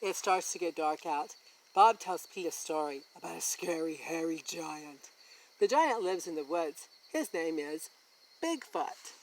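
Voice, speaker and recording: Slow, lively woman reading to children. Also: low-pitched, slight background noise, rough recording. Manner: deliberate, expressive, pointing things out to young listeners. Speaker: story time host reading a picture book aloud. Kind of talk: children's read-aloud narration.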